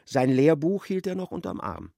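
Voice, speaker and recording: An actor narrating a literary radio play as a wry, expressive storyteller. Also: male voice, on the high side, normal speed, vocal fry, dry recording, clean studio sound.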